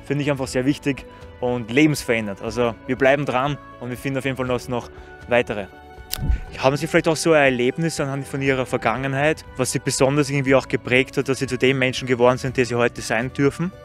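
A man's voice: mid-range voice, fairly even intonation, fast talker, faint music behind. A young man doing street interviews outdoors in a city, talking into a handheld microphone in an upbeat, promotional way. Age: young adult.

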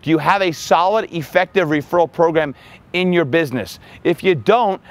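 A man in his forties, a fitness coach, giving energetic marketing tips to the camera outdoors on a lapel mic. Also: on the high side. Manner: energetic, upbeat, talks with his hands. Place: outdoors by a building in sunshine.

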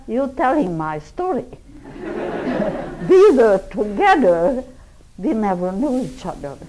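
Old lady w hungarian accent telling her story real slow, mellow dark voice, lecture room. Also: mid-range voice, rough recording. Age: older. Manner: slow, deliberate storytelling with broken English grammar. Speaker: public speaker. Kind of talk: personal testimony talk.

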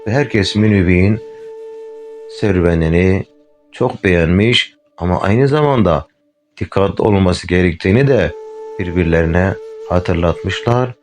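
A man gently telling a fairy tale to a child, addressing the listener directly. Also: deep voice, normal speed. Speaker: storyteller. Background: faint flute music.

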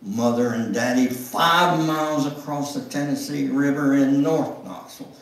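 An older man gives a deliberate dramatic reading of a memoir, with pauses. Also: mid-range voice. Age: older, 70s or above. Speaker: guest speaker reading his memoir. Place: church sanctuary, standing at music stand. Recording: echoey church room, distant microphone.

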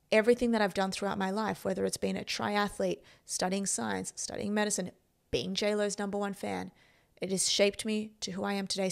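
Female podcaster, 30s, Australian accent, medium pitch with plenty of fry, emphatic and sure of herself. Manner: emphatic, self-assured. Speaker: podcast host and performance coach. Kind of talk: motivational personal storytelling to camera. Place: indoor room. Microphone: podcast microphone on boom arm, close up.

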